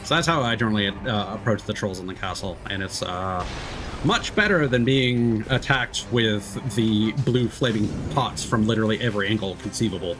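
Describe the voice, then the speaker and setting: A man's voice: fairly low pitch, lively intonation, moderate pace, background noise. A man giving casual, laid-back live commentary as he plays a video game, explaining things as he goes.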